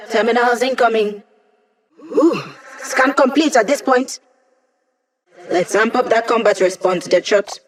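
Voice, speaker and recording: A woman performs a young female hacker from a video game, cheeky and quick to tease. Her voice sits at a medium pitch. She talks in chatty tech slang with a snarky, playful edge, as the squad's support. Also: close to an everyday voice. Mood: upbeat. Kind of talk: video game character voice lines.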